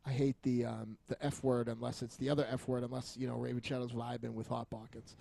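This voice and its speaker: Guy cohosting a podcast, rambly and jokey, swears a bit, kinda croaky voice, really dry sound. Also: medium pitch, little pitch movement, quick pace, dark tone, no echo. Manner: jokey, foul-mouthed, rambling, often chuckling. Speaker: podcast co-host. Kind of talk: casual rambling podcast chat.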